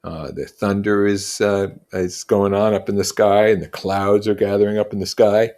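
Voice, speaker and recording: A man with a lowish voice reads fiction aloud. He narrates as a teacher would, stepping out of the text to offer explanatory comments. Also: little pitch movement, moderate pace, darkish tone. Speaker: reader and teacher.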